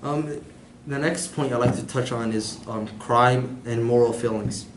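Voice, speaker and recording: A man's voice: medium pitch, unhurried, roomy sound, slight background noise, poor audio. A man giving a prepared class presentation, speaking hesitantly with many filler sounds. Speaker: student presenter.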